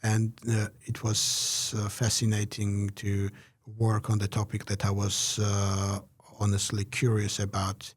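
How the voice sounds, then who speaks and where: A man giving measured, reflective interview answers, pausing often with "uh" between phrases. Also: fairly low pitch, monotone, breathy edge. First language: Georgian. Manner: measured, many "uh" pauses. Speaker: interview guest, researcher.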